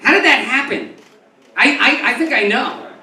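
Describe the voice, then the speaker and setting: A man from New York doing a stand-up comedy set, rambling and stumbling over his words, then breaking into loud comic outbursts. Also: extremely high pitch, expressive, normal speed. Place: comedy club stage before a live audience. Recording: echoey club recorded from a distance, crowd audible.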